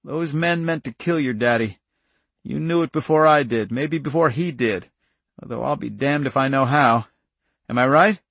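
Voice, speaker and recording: A man's voice: medium pitch, normal speed, creaky, fairly bright tone, no room sound. A man voicing a wounded older farmer in an audiobook story, plainspoken, stern and stubborn, with folksy country talk. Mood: stern, earnest. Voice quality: breathless.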